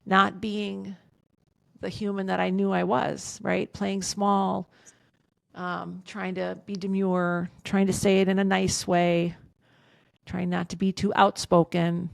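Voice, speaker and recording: A woman in her fifties, a podcast guest, talking candidly in an outspoken, emphatic way with a loud voice, swearing freely. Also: medium pitch, flat delivery, slow pace, gravelly, dry recording. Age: 50s. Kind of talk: candid casual conversation.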